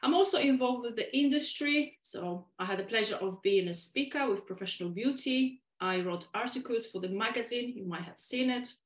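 A woman from Poland with a Polish accent, speaking English as a second language, who talks deliberately slowly as a podcast guest. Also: a little high, echoey room, low-quality recording. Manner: deliberately slowed, careful speech. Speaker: guest, waxing specialist. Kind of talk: podcast interview.

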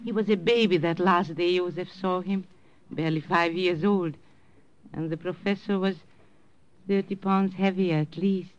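An anxious, motherly old German grandmother, played by a woman in an old-time radio drama. She speaks with a German accent in a halting, placating way, and the recording is dull and muffled. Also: fairly low pitch, fairly even intonation, close to an everyday voice. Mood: reassuring. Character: anxious, kindly old German grandmother who runs a bakery. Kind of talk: 1950s radio drama acting.

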